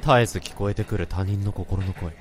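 A man playing a brooding, deep-voiced office worker. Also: fairly quick, heavy background noise, close to an everyday voice. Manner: somber, introspective, restrained. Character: brooding male office worker who hears thoughts. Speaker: narrator and lead. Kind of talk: radio drama acting and narration. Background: music.

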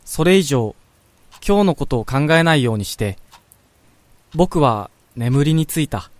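Pensive young male lead for radio drama played by a man, wistful inner monologue, mid pitch, close dry studio sound. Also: lively intonation, a little slow, no echo, natural voice. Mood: weary, subdued. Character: pensive young man quietly reflecting on a childhood friend.

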